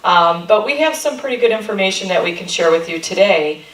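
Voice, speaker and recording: A woman's voice: mid-range voice, lively intonation, fairly quick, fairly bright tone, far from the mic. A middle-aged woman from Michigan, a chamber of commerce president giving a friendly, rambling talk to a local group, with many ums. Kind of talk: informal presentation to a local group. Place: meeting room. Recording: echoey room recorded from afar.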